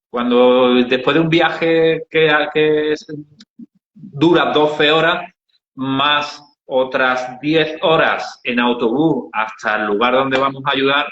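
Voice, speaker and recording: Andalusian man telling stories, bright, roomy. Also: medium pitch. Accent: Andalusian Spanish. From Andalusia, Spain. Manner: unhurried storytelling with many hesitations. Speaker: interviewee, founder of an aid project. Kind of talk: telling travel anecdotes in an interview. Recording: dull, roomy recording.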